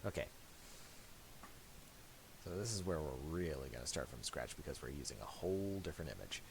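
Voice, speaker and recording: A man with a low voice talks through a software tutorial in a casual, halting way, thinking aloud. His intonation swings widely over a clearly hissy home recording with clicks. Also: animated.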